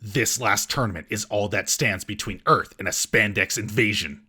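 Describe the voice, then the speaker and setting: Sarcastic man with husky, forceful voice. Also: on the low side, normal speed. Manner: sarcastic, mocking. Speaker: comedic film reviewer and host. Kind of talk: scripted comedic recap narration.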